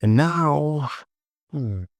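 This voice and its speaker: A man casually presenting a software tutorial, talking to himself as he works and repeating short phrases. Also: a little high, lively intonation, extremely slow, dry recording.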